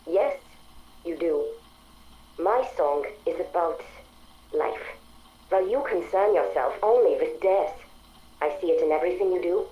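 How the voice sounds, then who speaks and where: A woman's voice: lowish voice, measured pace, long pauses, slight background noise, lightly acted. Woman as a dull-toned, composed female AI. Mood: cool, probing. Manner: calm, precise, formal. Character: earnest female artificial intelligence, composed and proud. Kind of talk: game audio-log drama acting.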